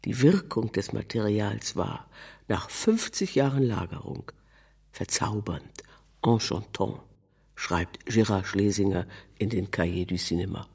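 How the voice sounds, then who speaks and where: Husky man reading literary prose. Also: fairly low pitch, expressive, a little slow, darkish tone. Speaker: narrator, reader of a literary text. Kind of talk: scripted literary narration.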